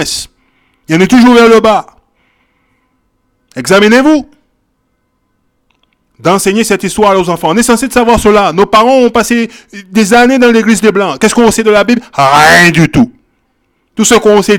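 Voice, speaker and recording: High-voiced man preaching emphatically. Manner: emphatic, repeats phrases, checks in with "ok?" and "hein?"; shifts from quiet verse reading to loud exhortation. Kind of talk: Bible teaching sermon.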